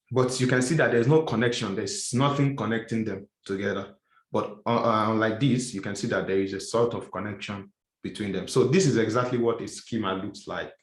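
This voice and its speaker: A man with a fairly low voice teaching a class, walking through each idea slowly and restating it in different words. The sound is roomy and echoey. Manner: explanatory, repeats and rephrases points. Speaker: instructor. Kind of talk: classroom lecture.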